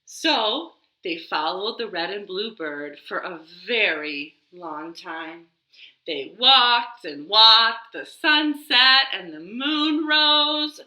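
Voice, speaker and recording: A woman hosting a children's storytime, reading aloud expressively with dramatic pauses and drawn-out words. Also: medium pitch, animated, sharp tone. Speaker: library storytime host. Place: living room. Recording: echoey living room.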